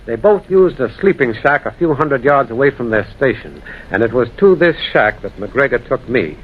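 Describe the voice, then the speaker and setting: An actor playing a grave man recounting a ghostly encounter, tense, deliberate and dramatic, in an old-time radio drama. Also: medium pitch, very animated. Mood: grave, suspenseful. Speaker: narrator and protagonist. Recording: muffled, hissy old radio recording.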